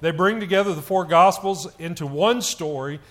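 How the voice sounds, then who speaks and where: A middle-aged man, a church elder, speaking loudly to the congregation and stumbling with frequent fillers. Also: high-pitched. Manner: loud voice, halting with many fillers.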